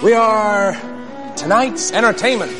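A man's voice: high voice, animated, measured pace. A man delivering a theatrical show-opening announcement to an audience. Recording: loud music under the voice. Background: loud music.

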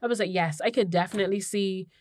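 A woman's voice: mid-range voice, quick pace, a little mellow. A woman of thirty co-hosting a podcast, talking in a reflective, rambling way. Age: 30s. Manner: reflective, loses her train of thought. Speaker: podcast co-host. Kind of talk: casual podcast chat.

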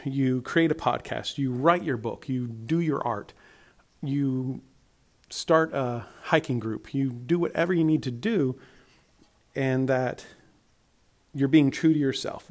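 A man from Alabama with a Southern accent, chatting through a solo podcast in a friendly, rambling way, his voice worn from a tiring week. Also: mid-range voice. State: tired, voice worn. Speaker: podcast host.

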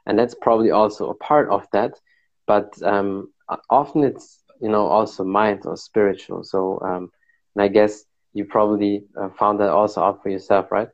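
A man asks questions in a very deep voice, chatty and friendly. His audio comes through dull and lo-fi, like a compressed video call. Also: fairly even intonation, moderate pace. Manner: friendly, chatty, many fillers. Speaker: podcast host. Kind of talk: casual interview questions.

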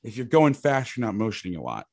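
Loud, rambling football coach. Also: male voice, lowish voice, huge pitch swings, quick pace, husky, no room sound, studio quality. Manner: rambling, restarts sentences, occasional mild swearing. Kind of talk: casual podcast chat, explaining defensive schemes.

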